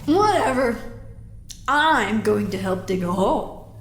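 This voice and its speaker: An actress playing a casual, upbeat field agent who nudges and encourages in informal, naturalistic audio drama dialogue. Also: female voice, mid-range voice, slow, slight background noise. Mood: coaxing, upbeat. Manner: informal, coaxing, animated. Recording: roomy echo, music behind.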